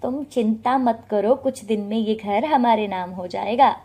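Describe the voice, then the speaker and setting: A woman's voice: a little high, unhurried, warm tone. A woman voicing a henpecked adult son in a story, curt and dismissive toward his mother. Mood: cold.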